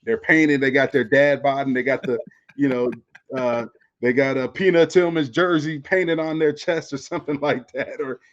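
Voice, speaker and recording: An American man chats casually on a sports podcast as a former player turned co-host. His pitch sits in the middle, and he often repeats phrases as he builds a point. The recording is a little dull and lo-fi. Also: fairly even intonation, moderate pace. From Florida, United States. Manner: conversational, repeats phrases, often says "you know".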